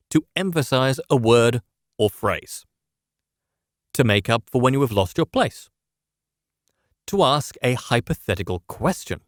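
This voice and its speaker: A man presenting scripted instructional advice, speaking in a measured, explanatory way straight to the listener. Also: mid-range voice, dry recording, studio quality. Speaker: presenter of public speaking lessons.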